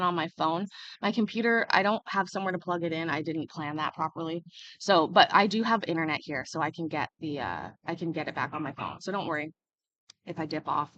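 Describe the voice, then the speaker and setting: A woman's voice: fairly low pitch, pushed and bright, no room sound. Chatty woman on a casual livestream. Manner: chatty, reads and answers chat comments. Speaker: livestream host.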